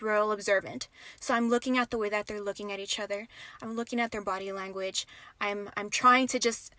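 A young woman in a lively storytime, her voice a bit high and her delivery animated, with dramatic pauses and casual fillers. The recording is dry and close, with no room sound. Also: dry recording. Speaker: podcast host. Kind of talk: storytime narration.

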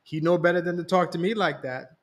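A man's voice: sits high, brisk, voice pushed up, natural voice. A man voicing a sly, commanding mother who teases her husband and scolds her son. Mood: stern. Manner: sly, teasing, bossy.

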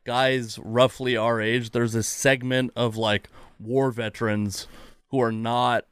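Guy in his 30s chatting as podcast host, smooth clear voice, dry close sound, rambles a bit. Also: mid-range voice, flat delivery, takes it slowly, fairly bright tone, no room sound. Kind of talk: casual conversational interview.

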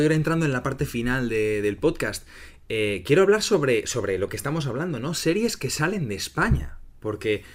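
A middle-aged man from Spain talks through a podcast conversation in an easygoing, explanatory way. His voice sits at an ordinary pitch, and he keeps checking in with his listener as he goes. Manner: explains terms clearly, often asks "¿no?". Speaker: podcast host and Spanish teacher.

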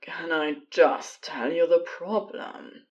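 An agitated, evasive man phoning for help in an audio story, played by a woman. He is embarrassed and strained, speaking in curt, hesitant bursts at a lowish pitch for the performer. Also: slow pace, lo-fi sound. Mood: frantic, relieved.

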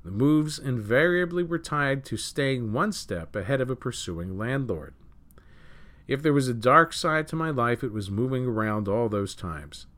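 A man reads a scripted article as a news program host, in a mid-pitched voice that moves up and down a great deal. His delivery is measured and story-like, leaning firmly on the openings of phrases. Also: lively intonation, fairly bright tone. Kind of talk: scripted narration, reading a written article aloud.

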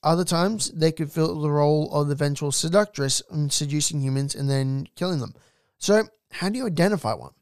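A man hosting his own podcast, talking straight to the listener with firm emphasis and quick asides. His voice sits a touch high for a man and comes through smooth and resonant. The close, dry recording has almost no room sound. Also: no echo. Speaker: podcast host. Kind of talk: solo podcast narration on folklore.

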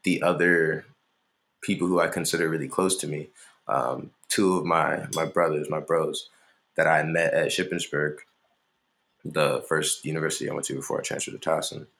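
Very deep, gravelly-voiced young man. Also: level tone, moderate pace, a bit bright. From Maryland, USA. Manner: laid-back, rambling, many fillers. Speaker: interview guest, musician. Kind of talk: casual conversational interview.

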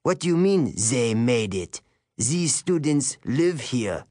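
A man's voice: medium pitch, sing-song, slow, dark tone, dry recording, studio quality. A man voicing a stiff, hostile male military-school teacher with a French accent, snappish and imperious, in an audiobook. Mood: suspicious.

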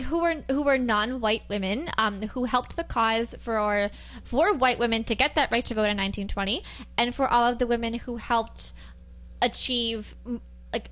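A woman's voice: fairly high pitch, fairly bright tone. A woman co-hosting a podcast, chatting casually with lots of fillers. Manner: chatty, rambling with many fillers. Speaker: podcast co-host. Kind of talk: casual chat.

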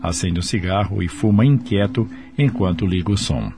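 A man narrating a scripted radio drama in a measured, dramatic storytelling style. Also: low voice, vocal fry. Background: music in the background.

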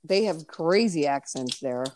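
Blunt, chatty woman with a low voice. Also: expressive, unhurried, darkish tone, slight background noise. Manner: blunt, swearing, chatty storyteller. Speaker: podcast host. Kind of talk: casual podcast chat.

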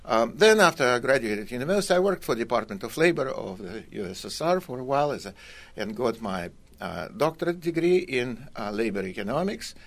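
A man's voice: medium pitch, sing-song, brisk. An older man from Russia with a Russian accent, telling his story in a hesitant, conversational way with wry, dry humour. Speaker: college academic guest. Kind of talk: conversational autobiographical storytelling in an interview.

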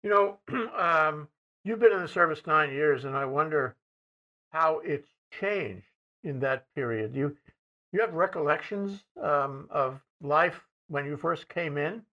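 A man interviewing soldiers, asking questions in a halting way with frequent restarts and throat-clearing. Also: a little high, lively intonation, bright, forceful tone, dull audio.

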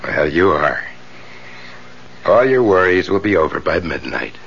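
An actor playing a polite, probing, quietly menacing salesman lodger in a 1970s radio drama. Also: low voice, very animated, measured pace, heavily acted. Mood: calmly sinister. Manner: polite, smooth-talking, probing. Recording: dull, noisy 1970s radio recording.

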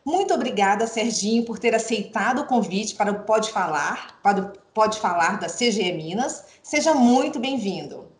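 A woman's voice: mid-range voice, lively intonation, moderate pace, few pauses, roomy sound. A middle-aged woman hosting a podcast, formally welcoming a guest and reading his credentials. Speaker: podcast host. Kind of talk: podcast interview introduction.

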